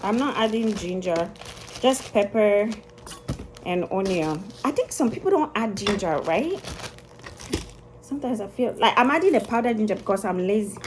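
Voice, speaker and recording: A woman's voice: mid-range voice, expressive, normal speed, a little mellow. Ghanaian lady talking to her phone while cooking, lots of "like", slight background hiss. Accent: Ghanaian, mixed with Italian and British touches. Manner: chatty, lots of "like" and restarts, shifts accents playfully. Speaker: vlogger. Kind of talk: casual vlog chat while cooking. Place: home kitchen, indoors. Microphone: phone camera on the counter, filming from below.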